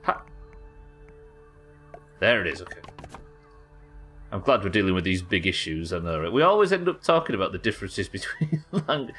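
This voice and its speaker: A British man with a fairly low voice that swings widely up and down as he talks, chatting loosely to his stream audience. He wanders from thought to thought, picking up viewers' messages and mulling them over. Soft game music plays underneath. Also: animated, moderate pace, pauses often. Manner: rambling, reads chat messages aloud and muses over them. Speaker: streamer host. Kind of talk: casual live-stream chat while gaming.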